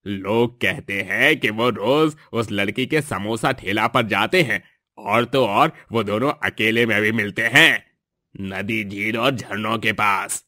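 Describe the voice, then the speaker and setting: A servile henchman played by a man tattles to his village boss in a cartoon morality tale. His voice is low and forceful, unhurried and deferential. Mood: sly, tattling. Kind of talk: Hindi moral-story cartoon acting.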